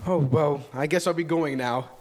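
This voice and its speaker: An actor reading a nervous teenage boy at a live table read, stammering and apologetic, then yelling in panic. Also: a little high, moderate pace, natural voice. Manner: stammering and nervous, shouting when scared.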